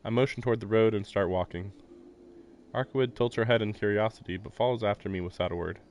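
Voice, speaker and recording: A male live streamer with a somewhat low voice gives casual game commentary. He rambles and muses in a jokey way, moving between reading narration and his own remarks. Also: fast, darkish tone.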